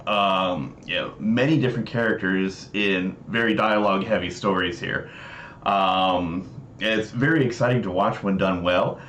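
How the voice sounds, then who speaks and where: A man hosting a livestream, explaining things casually in a halting, hesitant way. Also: on the low side, a bit bright. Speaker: livestream host. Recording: roomy echo, dull mic, noisy background.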